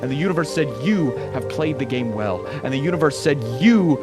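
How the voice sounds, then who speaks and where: A man giving a solemn, theatrical reading of a poem in a storytelling style, with deliberate pauses. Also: fairly high pitch, lively intonation. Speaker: dramatic reader. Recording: voice laid over background music. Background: music.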